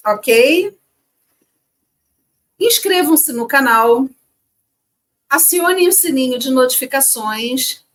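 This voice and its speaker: Brief: middle-aged Brazilian co-host, high bright voice that jumps around, animated storyteller. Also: female voice, a little hiss or hum. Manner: lively storyteller, laughs easily. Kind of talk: casual chat.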